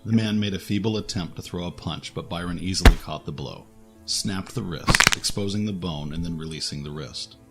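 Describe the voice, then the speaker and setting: A man's voice: low voice, normal speed, over loud music. A man reading scripted narration for a fantasy action audio drama as its narrator.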